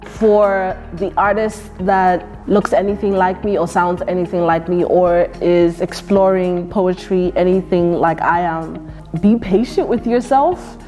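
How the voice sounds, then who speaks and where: A woman's voice: fairly low pitch, fairly even intonation, a little slow, warm tone, soft background music. A young woman from Ireland of Nigerian heritage, who grew up with Yoruba and English, answering interview questions in a thoughtful, earnest way with frequent fillers and restarts. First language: Yoruba alongside English. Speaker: poet and performer.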